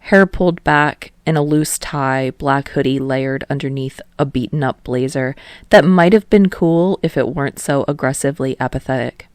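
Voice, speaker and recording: A woman narrates her own fan fiction in a podfic, telling it in plain, even storytelling. Her voice sits low for a woman, and the recording is dry and close with no room sound. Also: normal speed, dry recording. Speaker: podfic reader and author. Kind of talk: reading fan fiction aloud.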